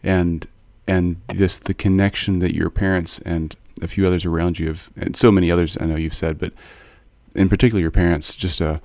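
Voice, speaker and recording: A man's voice: sits low, little pitch movement, a little fast, a little mellow, dry recording. A man hosting an interview, asking long, meandering questions with frequent hesitations. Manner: meandering, hesitant, many fillers and restarts. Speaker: podcast host.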